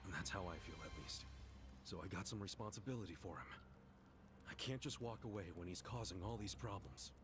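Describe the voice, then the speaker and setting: An actor playing a big-hearted, stubbornly loyal middle-aged ex-convict in a video game drama, speaking in an earnest, informal, rough-edged way. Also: male voice, deep voice, brisk, lightly acted. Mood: heartfelt. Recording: game music behind the voice.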